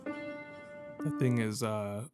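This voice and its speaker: A man's voice: fairly low pitch, fairly even intonation, very slow. A man giving casual let's-play commentary, thinking aloud in a rambling way with self-deprecating jokes. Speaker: video game streamer. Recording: music behind the voice. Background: game music.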